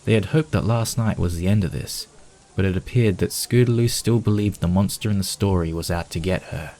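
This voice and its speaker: Low-pitched story narrator. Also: a little fast, mellow. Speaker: fan fiction narrator.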